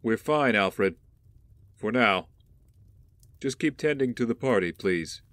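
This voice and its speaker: An actor playing a guarded young wealthy heir in an audio drama, brooding and wary, with a measured, terse delivery. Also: male voice, lowish voice, lively intonation, fairly bright tone, no room sound. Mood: curt, reassuring.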